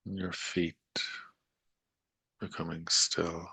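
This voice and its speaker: A man guides a meditation in a slow, soothing manner, leaving long pauses between short phrases. His delivery is very even, with little melodic movement. The voice is husky and breathy yet crisp on the top end, gently coaxing the listener along. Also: low voice.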